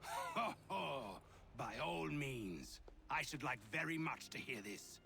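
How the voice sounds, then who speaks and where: An actor playing an arrogant, hot-tempered British general in a video game cutscene, imperious and biting in delivery. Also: male voice, mid-range voice, animated, takes it slowly, vocal fry, sharp tone, heavily acted. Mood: sarcastic menace. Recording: music behind the voice.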